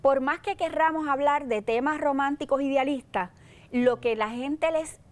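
A middle-aged Puerto Rican woman with a slightly high voice answers interview questions on a studio set. She is earnest and persuasive, laying out her plans point by point into a lapel mic. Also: normal speed. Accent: Puerto Rican Spanish. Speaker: political candidate, interview guest. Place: TV studio set, seated in an armchair.

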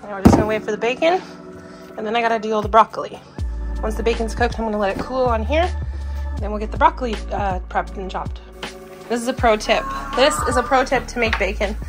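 A woman's voice: a little high, quick pace, over music. A woman speaking casually and chattily as she narrates a home cooking vlog in her kitchen. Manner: chatty, informal. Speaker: vlogger and mother.

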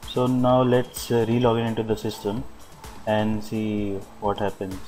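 Man demonstrating software, flat delivery over music. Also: fairly low pitch, unhurried. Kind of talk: unscripted screen-recorded software walkthrough. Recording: music playing behind the voice.

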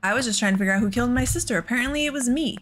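A woman's voice: fairly high pitch, racing, fairly bright tone, slight background noise. A woman giving casual let's play commentary, thinking aloud in an exasperated way. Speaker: gaming host.

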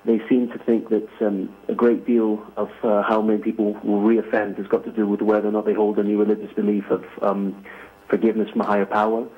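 A man's voice: lowish voice, little pitch movement, brisk. A man from Greece calling in to a talk show, asking a long question with many fillers and restarts. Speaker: caller. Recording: tinny phone line.

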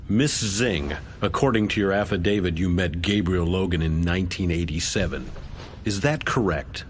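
A man's voice: low voice, normal speed, a little hiss or hum, natural voice. A man playing a stern, probing middle-aged official questioning witnesses in a video game. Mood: stern, formal. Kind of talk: video game cutscene acting.

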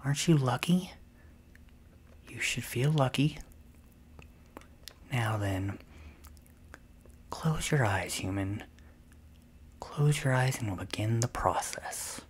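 Slow whispering vampire mistress, acted by a woman. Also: rumbling low, lively intonation, a little hiss or hum, exaggerated voice. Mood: smug, coaxing. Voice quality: breathy whisper. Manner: slow, hypnotic, softly commanding. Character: possessive, soothing female vampire doctor turning a human into her thrall. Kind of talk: whispered ASMR roleplay.

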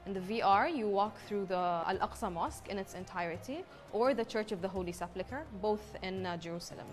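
A young woman from Palestine giving an on-camera interview as a pavilion representative. She explains things proudly, like a tour guide, and pauses often. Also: medium pitch, soft background music. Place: exhibition pavilion, indoors.

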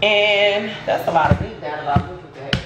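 A woman's voice: medium pitch, normal speed, recorded from a distance, over music. A young American woman chatting colloquially in a casual vlog, playfully chiding as she talks. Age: young adult. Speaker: vlog co-host and home cook. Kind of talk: casual vlog chat with bantering partner. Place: home kitchen, indoors. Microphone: camera or phone a few feet away. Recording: echoey, noisy kitchen, off the mic.

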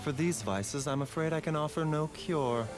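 A man playing a calm, courteous doctor with quiet menace and measured, formal speech in a game trailer. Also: fairly high pitch, lightly acted. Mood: composed, sardonic. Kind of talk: English game trailer voice acting. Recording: loud music behind.

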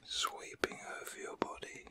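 A man in his fifties giving a soothing, breathy whispered ASMR relaxation as a reiki healer, gentle and unhurried. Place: room at home.

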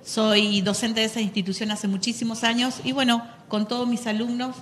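A woman's voice: a little high, flat delivery, fairly quick, slight background noise. Earnest Argentine woman teacher, studio echo. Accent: Argentine Spanish. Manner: earnest, listing, many fillers. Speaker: teacher of economics, guest. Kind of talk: studio interview answers. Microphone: handheld mic.

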